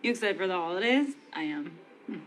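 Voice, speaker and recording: A woman acting a quirky, earnest, easily flustered young woman in a sitcom, expressive and awkward. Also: lowish voice, brisk, slight background noise, low-quality recording. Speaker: actor in a TV sitcom.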